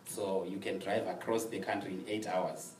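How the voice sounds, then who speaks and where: A young man from Zimbabwe, speaking softly and with measured pacing as a guest telling his story, often laughing. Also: low voice, flat delivery, a little hiss or hum. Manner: soft-spoken, measured, laughs easily. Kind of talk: conversational storytelling interview. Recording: roomy sound from a distant mic.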